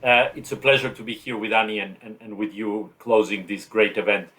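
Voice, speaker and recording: A man's voice: fairly low pitch, fairly even intonation, a little fast, a bit bright. A man from Chile giving conversational panel remarks, hesitant and often repeating words as he goes. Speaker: transport director, conference co-host. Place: video call.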